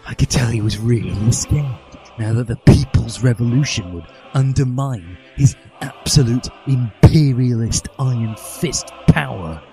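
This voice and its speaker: Male podcast reader, medium pitch, mock-dramatic narration, deliberate emphasis, faint music behind. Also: dark tone.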